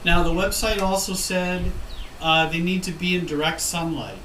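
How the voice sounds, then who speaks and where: A man's voice: high-pitched, moderate pace, sharp tone. Stuffy-nosed man on a windy patio. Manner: rambling, self-correcting, frequent ums. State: stuffed up from a head cold. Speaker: gardening vlogger. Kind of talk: casual talk to camera. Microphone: camera mic from a few feet away. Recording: roomy, distant camera audio with wind noise.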